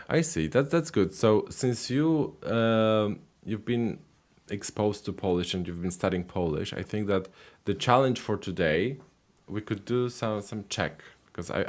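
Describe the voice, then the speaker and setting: A man's voice: on the low side, measured pace, few pauses. A man hosting a live language call-in stream, chatting casually with callers with lots of fillers and repeats. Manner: relaxed, many fillers and repeated words.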